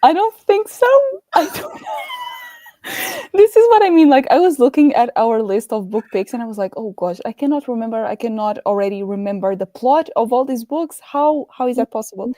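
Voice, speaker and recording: A woman's voice: fairly high pitch, dark tone, no echo, clean studio sound. A woman co-hosting a casual book discussion, speaking in a tentative, hedging way.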